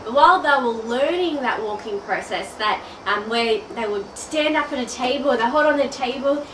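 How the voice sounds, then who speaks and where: A woman's voice: on the high side, normal speed, background noise. Aussie lady with a cold, all stuffed up in the nose, chatty coach vibe, kinda echoey and far from the mic. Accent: Australian. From Australia. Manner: chatty, addresses the viewer directly. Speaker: online business coach. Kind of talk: direct-to-camera video message. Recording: roomy, a bit distant from the mic.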